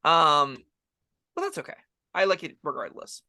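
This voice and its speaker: A man's voice: fairly high pitch, wildly swooping pitch, normal speed, bright, forceful tone. A man co-hosting a casual, opinionated discussion of tabletop game rules, explaining his points emphatically.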